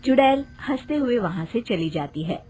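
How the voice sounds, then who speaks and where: A woman's voice: a little high, expressive, normal speed, noisy background. A woman narrating a children's cartoon story in a scripted storytelling style.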